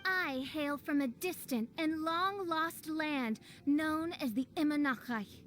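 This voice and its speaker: A theatrical young princess for a video game, pitched high and unhurried. She declaims with pompous, archaic grandeur over faint background noise. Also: fairly bright tone. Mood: grandiose. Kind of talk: video game character acting.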